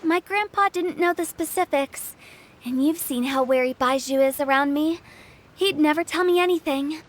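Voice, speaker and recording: An actress as a sly young funeral director. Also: female voice, high-pitched, normal speed, natural voice. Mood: scheming. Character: young woman funeral parlor director, mischievous and shrewd.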